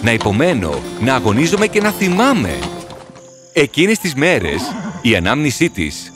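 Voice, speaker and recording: Earnest, sorrowful horse storyteller played by a man. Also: fairly high pitch. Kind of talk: storybook cartoon narration. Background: music.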